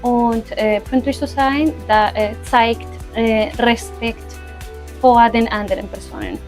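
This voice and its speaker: Slow-spoken woman language teacher. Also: fairly high pitch, expressive, faint music behind. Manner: slow and careful, with fillers. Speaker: German teacher. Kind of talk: interview answers in German.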